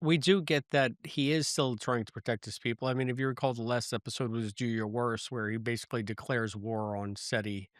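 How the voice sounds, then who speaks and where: Male podcast host, mid-pitched, casual and reflective, picking his words in stops and starts, very dry recording. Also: steady tone, fairly quick, hardly any pauses, no echo. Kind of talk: casual review chat.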